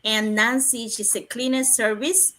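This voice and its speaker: A woman from Cuba joins a livestream panel, running through names and details in a plain, listing way. Her voice is bright and forceful, pitched a little high. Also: fairly even intonation, unhurried. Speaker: panelist, nurse.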